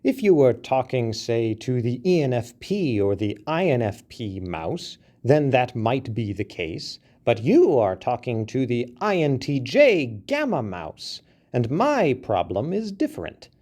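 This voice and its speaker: A man voicing an indignant little male mouse who argues in a raised, shrill voice. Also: very animated, measured pace, few pauses, darkish tone. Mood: lecturing. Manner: shrill, pleading, argumentative.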